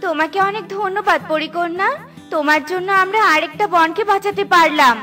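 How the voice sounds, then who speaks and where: Woman voicing a very high, sensible little bird. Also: fairly quick, bright, forceful tone, soft background music. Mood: grateful. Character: kind, sensible little tailorbird, gently scolding. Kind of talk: children's cartoon voice acting.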